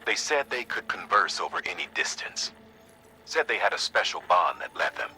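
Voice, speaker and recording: A grave, earnest official giving a game briefing. His deep voice is very bright and forceful, persuasive yet conversational, over faint music. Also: big pitch swings, normal speed, heavily acted, poor audio. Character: grave male second-in-command briefing an agent, earnest, folksy. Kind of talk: dramatic video game exposition.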